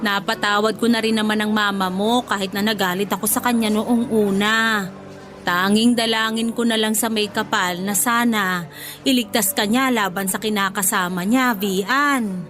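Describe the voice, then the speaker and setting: A woman's voice: medium pitch, steady tone, moderate pace, fairly bright tone, soft background music, close to an everyday voice. An actress playing an affectionate, motherly older former nanny in a Filipino radio drama, with a Filipino accent. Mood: forgiving, tender.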